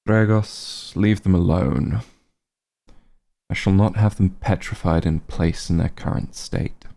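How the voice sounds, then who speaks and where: An ancient vampire lord, acted by a man, haughty and possessive, with a very dark, low voice. He speaks in slow, measured commands with a gravelly creak, as in an intimate fantasy ASMR drama. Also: fairly even intonation, exaggerated voice. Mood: disdainful, irritated. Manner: imperious, disdainful, measured and commanding. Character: haughty centuries-old male vampire lord, cold, possessive. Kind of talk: ASMR roleplay, scripted dramatic monologue.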